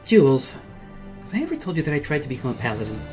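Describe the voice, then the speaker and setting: A man's voice: medium pitch, wildly swooping pitch, fast talker, over music. A man voicing a hot-headed, ambitious young army priestess in a fantasy game, brash and emphatic. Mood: frustrated.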